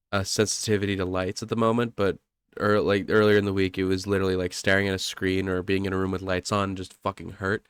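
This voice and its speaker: Congested young man, low smooth voice. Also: fairly bright tone, dry recording. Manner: rambling, self-correcting, laughs often. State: congested, stuffy nose. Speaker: podcast co-host. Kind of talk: casual podcast chat.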